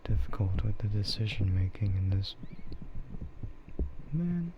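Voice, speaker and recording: A man streaming soft, breathy ASMR chat, hesitant and unhurried, often dropping into a whisper. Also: on the low side, expressive. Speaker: streamer, VTuber. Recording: muffled, lo-fi, clearly noisy.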